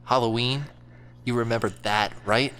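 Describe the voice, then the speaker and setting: A man's voice: mid-range voice, steady tone, moderate pace, close to an everyday voice. An actor playing a casual, slangy young man in a band, in an audio drama. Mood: worried. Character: laid-back young male band member.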